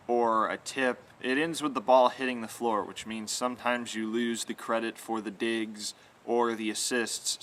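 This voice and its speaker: A man's voice: mid-range voice, fairly bright tone. A young man giving an earnest instructional explanation to camera, stressing his key words. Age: young adult. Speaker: sports announcing instructor. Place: outdoors in a yard with trees. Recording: clear outdoor background noise.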